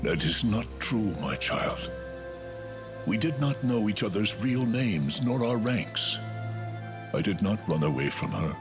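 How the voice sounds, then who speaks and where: A man's voice: lowish voice, expressive, unhurried. A man playing a grave, regal older king and father in a fantasy game, speaking solemnly and with command. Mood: sorrowful pleading. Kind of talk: dramatic fantasy game voice acting. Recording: music behind. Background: music.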